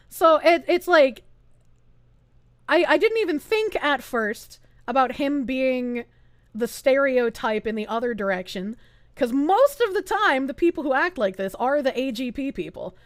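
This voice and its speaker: A woman's voice: sits high, no echo, studio quality. A woman streamer chatting casually and sarcastically while reacting to clips. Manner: sarcastic and animated.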